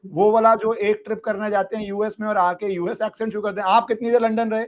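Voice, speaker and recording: An Indian man hosting a political podcast, talking indignantly in rapid, emphatic bursts. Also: sits high, little pitch movement. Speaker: podcast host. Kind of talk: animated political discussion.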